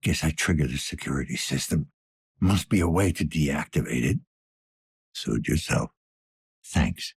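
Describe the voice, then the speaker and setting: An actor playing a weary, gruff older police detective in a cyberpunk video game, with a gravelly, breathy rasp and a terse, dry manner. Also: male voice, a bass voice, animated, measured pace, long pauses, exaggerated voice. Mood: wry, weary. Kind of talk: scripted game character dialogue.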